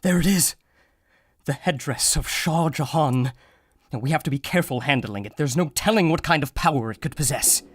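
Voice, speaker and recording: Man as a high-voiced, earnest adventurer hero. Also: moderate pace, slightly rough, dry recording. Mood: anxious, urgent. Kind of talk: old-time radio adventure acting.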